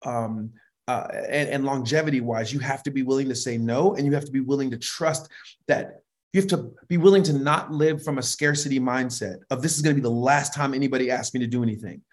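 A middle-aged man with a high voice, a worship leader telling stories in a relaxed, animated way in an interview, laughing often and restarting sentences. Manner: animated, laughs often, frequent restarts and "you know what I mean". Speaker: worship leader and vocal producer, interview guest. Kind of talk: relaxed conversational storytelling.

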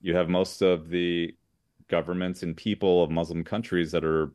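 An American man from Southern California, a lawyer speaking as an interview guest, earnest and measured with many fillers. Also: deep voice, level tone, darkish tone, no room sound, clean studio sound. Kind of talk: interview conversation.

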